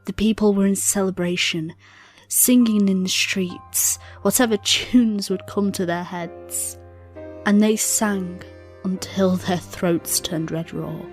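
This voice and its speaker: A woman narrating a dark tale in a solemn, measured, theatrical storytelling style. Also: medium pitch, steady tone. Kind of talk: dramatic scripted story narration. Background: instrumental music.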